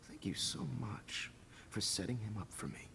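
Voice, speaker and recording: An actor playing a smug, menacing teacher and photographer villain in a video game, with a calm, condescending, gloating delivery. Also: male voice, lowish voice, moderate pace, vocal fry, a little hiss or hum, heavily acted. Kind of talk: dramatic video game acting.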